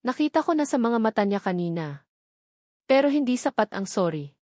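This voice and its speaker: A woman's voice: a little high, very animated, normal speed, dark tone, no echo. Filipino woman narrating a sentimental story, dry sound. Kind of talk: scripted first-person dramatic narration.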